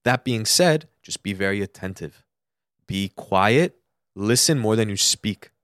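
A man's voice: fairly low pitch, animated, unhurried, a bit bright, dry recording, studio quality. A young American man co-hosting a podcast, giving casual advice in an emphatic way and repeating his points for stress. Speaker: podcast co-host.

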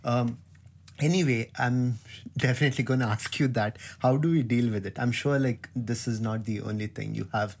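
A man asks his questions in a relaxed, slightly halting way. His voice is husky and sits at an everyday pitch. Soft laughs break up his phrases. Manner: friendly, hesitant, laughing softly between phrases. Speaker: interviewer. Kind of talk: casual interview chat.

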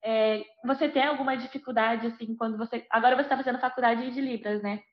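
A woman's voice: on the high side, steady tone, fast. A young woman with a Brazilian Portuguese accent interviewing, asking long rambling questions filled with tag words. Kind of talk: conversational interview. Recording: dull lo-fi audio.

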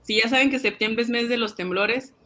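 Bright, sharp-voiced woman guest. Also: a little high, fairly quick. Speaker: guest panelist. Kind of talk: casual chat. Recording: faint background noise.

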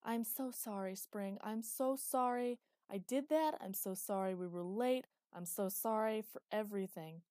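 A woman's voice: medium pitch, lively intonation, unhurried, few pauses, dark tone, no room sound, clean studio sound. A woman voicing a distraught, anxious woman who apologizes over and over. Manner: pleading, repeated apologies.

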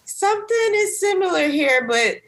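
A young adult woman with a deeper voice, chatting casually as a podcast co-host. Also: very animated, moderate pace, a bit bright. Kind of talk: casual chat.

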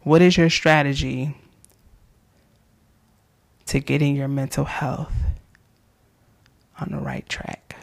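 A woman podcast host who is losing her voice, giving gentle faith-based encouragement in a hoarse, soft-spoken way that often drops into whispers. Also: low-pitched, steady tone, takes it slowly, long pauses. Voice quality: hoarse, gravelly.

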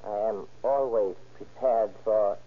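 Polished, confident foreign racketeer, husky tone, clipped formal delivery, muffled radio crime drama. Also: male voice, fairly low pitch, unhurried. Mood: self-assured. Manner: clipped, formal phrasing that drops articles. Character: smooth-talking, cautious foreign drug dealer, self-assured. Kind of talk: 1930s radio drama acting. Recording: muffled old-time radio recording.

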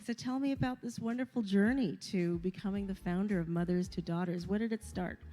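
A woman's voice: mid-range voice, fairly quick, warm tone, low-quality recording. A woman hosting a talk show, encouraging and chatty, with many fillers and repeated words. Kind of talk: interview conversation.

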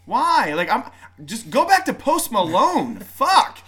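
A man's voice: very high-pitched, very animated, fairly bright tone, breathy edge. A man co-hosting a casual podcast, rambling and joking. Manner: rambling, joking, with quick put-on voices. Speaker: podcast co-host. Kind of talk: casual chat.

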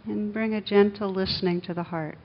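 A woman leading a guided meditation as a teacher, speaking gently and soothingly, with long unhurried pauses. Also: fairly even intonation. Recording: dull muffled recording with faint rumbling noise.

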